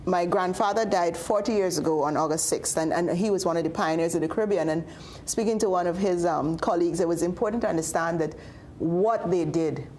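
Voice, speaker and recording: An earnest woman with a Guyanese accent talks as a guest on a morning TV show. Her voice sits low, and she often repeats words. A faint hum runs under the studio sound. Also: brisk, darkish tone. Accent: Guyanese, softened by Trinidad. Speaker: cancer society guest. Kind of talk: live TV interview.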